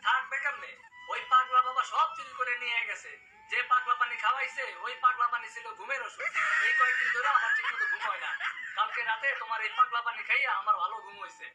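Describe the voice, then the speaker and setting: A man's voice: very high-pitched, steady tone, fast, echoey room, far from the mic, loud music behind the voice, low-quality recording. A man voicing a skeptical, exasperated husband and father in a cartoon, sarcastic and complaining. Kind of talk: cartoon character dialogue.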